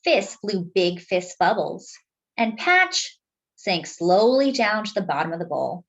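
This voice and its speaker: A woman reading a picture book aloud to young children as a storytime host, expressive and speaking directly to her listeners. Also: mid-range voice, measured pace, muffled recording.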